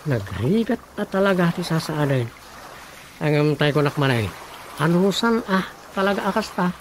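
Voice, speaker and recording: A man playing a defensive, long-suffering village councilor in a radio drama, with a creaky voice and an Ilocano accent. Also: a little high, animated, normal speed, slight background noise. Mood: fed up. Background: loud music.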